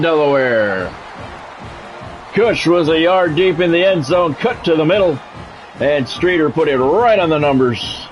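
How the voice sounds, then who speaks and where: A man with a voice a little higher than average delivers sports play-by-play for a video game football match. He talks conversationally, dropping dry, wry asides between calls. Faint music plays behind him. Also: low-quality recording. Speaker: play-by-play announcer.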